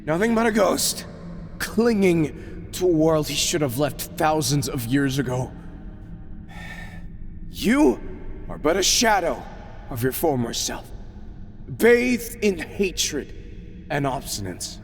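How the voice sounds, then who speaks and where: A man playing a weary, proud, grieving god in a fantasy audio roleplay, solemn and formal, often breathy. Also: on the high side, very animated, faint music behind, heavily acted. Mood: anguished, angry. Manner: solemn, measured, formal archaic phrasing. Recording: clearly noisy, ambient background sound.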